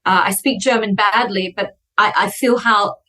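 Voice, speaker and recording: Chatty woman in her 30s, animated, talks fast and tells stories on a podcast. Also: medium pitch. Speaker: podcast guest, speaker. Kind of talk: casual conversation.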